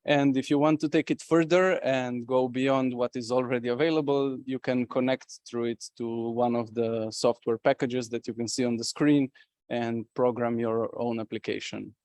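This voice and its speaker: A man's voice: medium pitch, steady tone, normal speed, a little mellow, dry recording. A man from Slovenia giving a conference presentation as a company representative, talking with many fillers and restarts.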